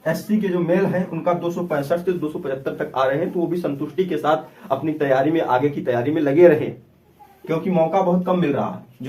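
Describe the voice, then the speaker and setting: A man's voice: a little high, darkish tone. A man giving an informal explanation to students as an exam-prep teacher, with a repetitive, emphatic way of advising.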